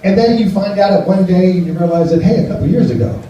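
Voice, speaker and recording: A man's voice: sits high, fast, dark tone, slight background noise. An American man in his fifties doing a rambling, self-deprecating stand-up set and chatting with the crowd in a small cafe. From United States. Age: 50s. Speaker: stand-up comedian. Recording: distant, roomy, muffled live cafe recording.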